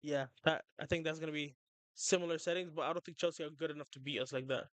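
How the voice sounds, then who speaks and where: An excitable young man chatting on a livestream, rambling and stumbling over his words. His voice sits a little high and comes through smooth and clear. The sound is dry and close. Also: quick pace, no room sound. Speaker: streamer. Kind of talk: casual livestream chat.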